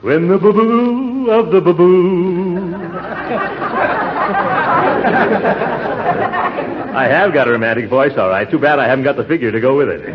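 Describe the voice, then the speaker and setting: An actor playing a vain, blustery, chuckling middle-aged uncle in a 1940s radio comedy. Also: male voice, sits high, lively intonation, takes it slowly, pauses often, a loud crowd. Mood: flattered. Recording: muffled, noisy 1940s radio, audience behind.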